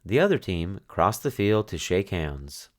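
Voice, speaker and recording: A man reading a children's picture book aloud as narrator, in expressive storytelling style. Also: low-pitched, a little slow.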